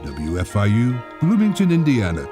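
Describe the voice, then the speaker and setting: A man's voice: medium pitch, huge pitch swings, normal speed, over music. Mock-serious radio announcer man.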